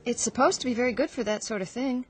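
A woman's voice: on the high side, quick pace, lightly acted. A woman playing a prim, helpful young lady. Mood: polite. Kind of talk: radio drama acting. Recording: radio play with music underneath.

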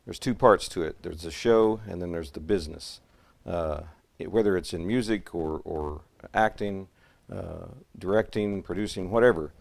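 A man in his fifties with a very deep, gravelly voice, hosting a relaxed interview show. He talks easily, chuckles often and slips in dry wisecracks. Recorded on a lapel mic in a living-room set. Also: moderate pace. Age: 50s. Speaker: talk show host. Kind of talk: casual interview chat.

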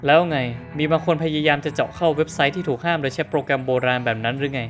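A smug, know-it-all cartoon teenager, voiced by a dubber, who explains rules in quick bursts and sneers at amateurs. He talks fast at a middle pitch, with faint music behind him. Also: close to an everyday voice. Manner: lectures rapidly, smug and mocking. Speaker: son at the poker table. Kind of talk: Thai fan dub of a cartoon.

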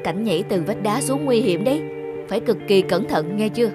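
A woman's voice: mid-range voice, expressive, fairly quick, music in the background, close to an everyday voice. A woman playing a worried, loyal male film actor in a cartoon, speaking with serious concern. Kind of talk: animated cartoon dialogue.

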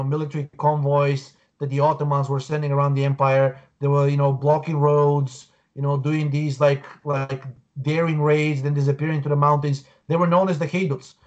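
A man in his forties from Bulgaria, a guest on a video call, explaining earnestly with frequent restarts. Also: on the high side. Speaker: guest researcher. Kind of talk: interview over video call. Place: home room, indoors. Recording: dull lo-fi call audio.

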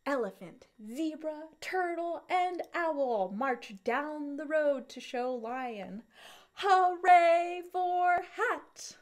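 A young woman reading a picture book aloud to small children in an expressive, deliberate way. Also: sits high. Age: young adult. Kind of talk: children's read-aloud narration.

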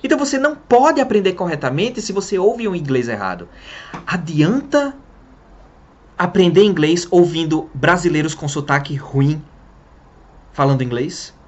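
A man's voice: fairly high pitch, normal speed, muffled recording. A young man from Brazil giving a casual English lesson to camera, talking with his hands and stressing his points as he goes. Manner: lively, talks with his hands. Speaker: English teacher. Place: small room in front of a printed wall.

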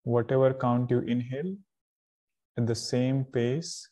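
Slow, mellow man guiding meditation. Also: mid-range voice. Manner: calm, deliberate, pausing between short phrases. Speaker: spiritual teacher leading guided meditation. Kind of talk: guided meditation instruction.

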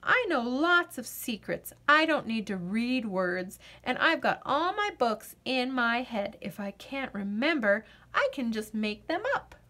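A stubborn little girl from a children's storybook, voiced by a woman, fussy and emphatic in her objections. Her voice sits fairly high and she takes her time over the words. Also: sing-song, a little slow, hardly any pauses, pushed and bright, full character voice. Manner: emphatic, matter-of-fact objections. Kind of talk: children's storybook read-aloud.

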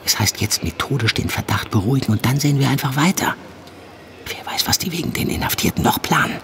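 An actor playing a police handler in a naturalistic audio drama, reassuring yet insistent, often dropping into hushed tones. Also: male voice, mid-range voice, animated, quick pace, gravelly, in a whisper. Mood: calming, insistent. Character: male police handler calming and pressuring his undercover agent. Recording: noisy outdoor ambience with vehicle sounds.

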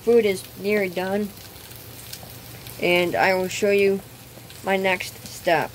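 A woman hosting her own cooking channel, explaining step by step outdoors at a grill, halting often with ums. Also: medium pitch, steady tone, a little hiss or hum, low-quality recording. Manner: unhurried, hesitant with frequent ums. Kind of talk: casual cooking demonstration.

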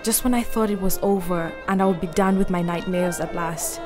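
A woman playing a frail, frightened young gentlewoman in a radio play, gentle and brave. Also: on the low side, steady tone, fairly quick, music in the background, lightly acted. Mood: weary, fearful. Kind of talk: radio play acting, partly diary narration.

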